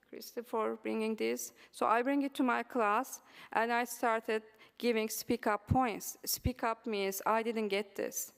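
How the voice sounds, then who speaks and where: A woman's voice: mid-range voice, creaky. Turkish-accented woman presenting in a hall. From Turkey. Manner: frequent um and uh fillers, earnest and encouraging. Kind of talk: conference presentation. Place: symposium hall.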